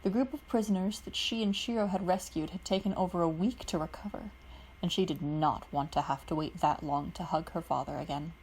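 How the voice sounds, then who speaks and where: Steady woman narrating fan fiction. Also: on the low side, a little fast, a little hiss or hum, low-quality recording. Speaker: podfic reader. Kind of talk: reading fan fiction aloud.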